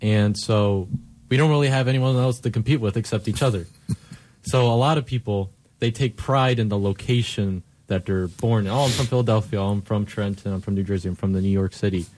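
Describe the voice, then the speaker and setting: A man's voice: fairly low pitch, a little fast, a little mellow. A young man speaking as a guest on a radio talk show, chatty with many likes. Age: young adult. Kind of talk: casual discussion.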